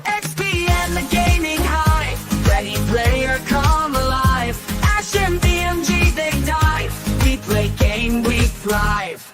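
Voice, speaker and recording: A woman's voice: sits high, expressive, slow pace, few pauses. Woman singing a pop theme over music. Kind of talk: sung theme song.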